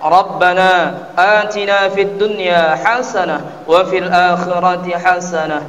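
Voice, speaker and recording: A man's voice: sits high, steady tone, fast, a bit bright, dull audio. A man, delivering a sermon as a mosque preacher in formal, exhorting oratory. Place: tiled mosque interior, at the pulpit. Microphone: mounted microphone at the pulpit. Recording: echoey hall, distant amplified voice.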